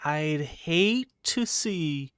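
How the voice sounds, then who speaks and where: A woman's voice: low voice, animated, drawn-out words, clear, resonant tone, no room sound, studio quality. A woman giving casual game playthrough commentary, sarcastically talking back to the characters and swearing freely. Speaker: gaming video host.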